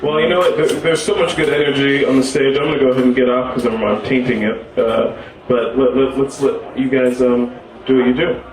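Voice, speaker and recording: A man's voice: medium pitch, steady tone, a little fast, few pauses. Male host interviewing on a live stage, stammering and easygoing, heard from afar in a roomy space with an audience behind. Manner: hesitant, stumbling over his words. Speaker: talk show host. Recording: echoey room from a distance with a crowd behind.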